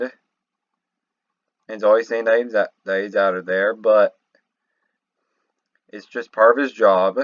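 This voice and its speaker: A man giving casual, unscripted commentary as a video host, halting and rambling as he goes. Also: lowish voice, fairly bright tone, no echo. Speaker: video host reacting to game lore. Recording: slightly muffled, dry home microphone.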